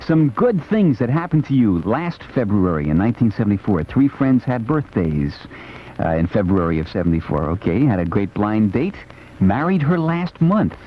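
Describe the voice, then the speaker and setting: An American man works as a late-night radio DJ, his pitch swinging freely as he chats. He is playful and rambles conversationally. The sound is a dull, noisy 1970s AM aircheck. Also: big pitch swings, normal speed. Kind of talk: casual 1970s music radio chat. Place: radio studio.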